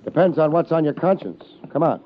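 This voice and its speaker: An American actor playing a laid-back, wisecracking hard-boiled private investigator in a 1950s radio detective drama. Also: male voice, fairly high pitch, expressive, fairly quick, a little hiss or hum, natural voice. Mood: dry, needling. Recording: muffled old 1950s radio recording.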